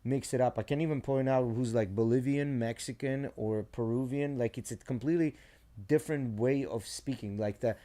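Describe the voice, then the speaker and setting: Male podcast co-host, dark, relaxed tone at medium pitch. Opinionated, informal, slangy. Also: moderate pace. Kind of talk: casual podcast chat.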